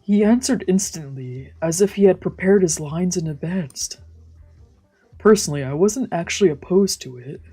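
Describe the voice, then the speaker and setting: A woman reads a visual novel aloud in a breathy, slightly husky voice. Her narration is dramatic and storylike, with game music playing behind her. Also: lowish voice, expressive, moderate pace, warm tone. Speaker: let's play streamer reading a visual novel aloud.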